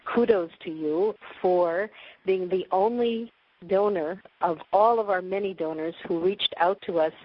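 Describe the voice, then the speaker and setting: A woman's voice: fairly low pitch, unhurried, muffled recording. A woman with a Canadian accent, a nonprofit director, addressing donors in a conversational way with many fillers. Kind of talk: speech to donors.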